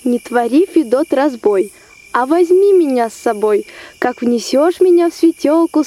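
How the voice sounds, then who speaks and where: A young woman voicing a gentle talking forest dove who pleads and coaxes in rhyme in a fairy-tale radio play. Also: high voice. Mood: coaxing. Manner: pleading, coaxing.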